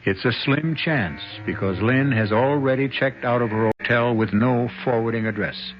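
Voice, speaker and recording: A man narrating a radio drama in a measured, storytelling manner. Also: medium pitch, soft background music. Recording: dull lo-fi radio recording.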